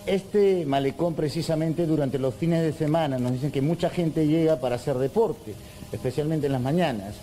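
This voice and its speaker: Very mellow Peruvian male reporter. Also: fairly high pitch, normal speed. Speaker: field reporter. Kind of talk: live news report, conversational. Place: open seafront park walkway. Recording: outdoor ambient noise.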